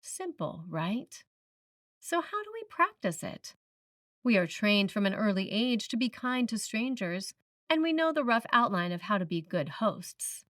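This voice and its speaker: A woman preacher reading a written sermon in a measured, reflective manner, putting questions to the listener. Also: mid-range voice, very animated, fairly bright tone, dry recording, studio quality, distant mic. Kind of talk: sermon, read from a script.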